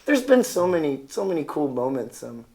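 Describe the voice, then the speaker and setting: A man from Canada, a musician and singer-songwriter, answering interview questions in a halting, searching way. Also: a little high, very animated, a little mellow. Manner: halting, full of fillers and restarts. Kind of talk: casual interview.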